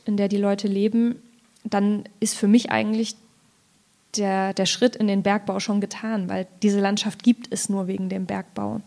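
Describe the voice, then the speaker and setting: Female author, conversational and reflective, with a relaxed, mellow tone and little swing in pitch. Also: vocal fry. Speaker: author, guest at a reading event. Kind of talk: conversational literary discussion with passages read aloud.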